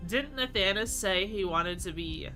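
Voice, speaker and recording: A man's voice: high-pitched, expressive, measured pace, bright, forceful tone, faint music behind. Congested nasal man chatting while gaming. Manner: chatty, rambling, thinks aloud and answers chat. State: just woke up. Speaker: streamer. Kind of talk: casual live game commentary.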